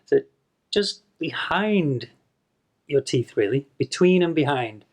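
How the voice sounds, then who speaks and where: A man's voice: on the high side, expressive, slow pace. A man teaching English pronunciation to camera in a patient video lesson, demonstrating sounds and repeating them.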